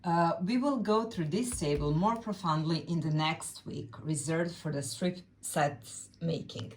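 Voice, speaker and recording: Low-voiced Serbian woman teaching quilting. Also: unhurried, a little mellow, a little hiss or hum, rough recording. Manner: careful, explanatory, occasional searching for words. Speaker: quilt pattern designer. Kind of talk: sew-along tutorial.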